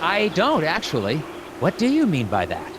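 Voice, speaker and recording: A man playing an earnest, awkward young FBI agent in an animated game, polite and hesitant with a deadpan streak. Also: on the high side, normal speed, background noise. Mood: curious, insistent. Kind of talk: animated adventure game dialogue and dictated notes.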